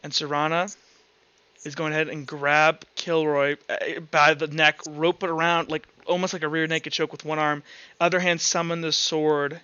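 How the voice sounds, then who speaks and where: A man narrating a tabletop roleplaying game as game master in a halting, informal, improvised way. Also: fairly high pitch, flat delivery, sharp tone, smooth, dry recording. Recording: dull lo-fi audio, music underneath. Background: background music.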